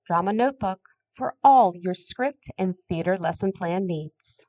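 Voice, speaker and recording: Woman hosting, medium pitch. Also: unhurried, warm tone. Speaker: drama teacher and podcast host. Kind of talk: scripted podcast introduction.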